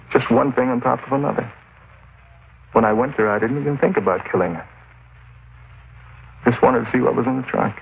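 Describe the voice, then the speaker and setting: Raspy, cracking killer breaking down in confession, phone-thin old radio. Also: male voice, fairly low pitch, a little hiss or hum. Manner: pleading and distraught. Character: broken male murderer confessing to police. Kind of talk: 1940s radio acting. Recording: tinny, distant old radio broadcast.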